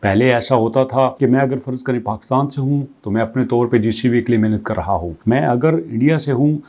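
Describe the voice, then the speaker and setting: A man with a warm, darkish voice at a middle pitch, hosting a crypto news channel. He walks through the update in an explanatory, conversational way, stacking up rhetorical questions and restating points in plain terms. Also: a little fast. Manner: reads English passages aloud, then explains them conversationally; poses strings of rhetorical questions. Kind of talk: explanatory commentary.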